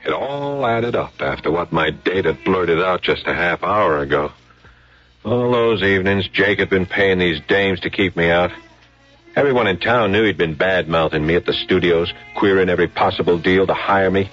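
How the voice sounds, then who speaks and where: An American actor playing a jealous, hot-tempered husband in a radio drama, terse and accusing. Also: male voice, low voice, normal speed, a bit bright. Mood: bitter narrating. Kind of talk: radio drama acting with hard-boiled narration. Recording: muffled old radio drama, faint music.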